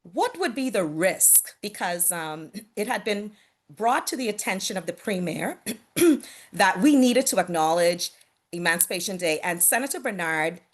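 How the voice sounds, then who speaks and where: A middle-aged woman from the Bahamas speaking on a video call panel, earnest and measured, with frequent fillers and restarts. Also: lowish voice. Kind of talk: reflective talk on a virtual panel.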